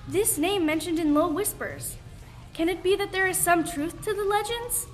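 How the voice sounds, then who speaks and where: A woman's voice: very high voice, a bit bright. A teenage girl playing a proud, desperate woman surgeon in a school radio play, with dramatic, declamatory delivery.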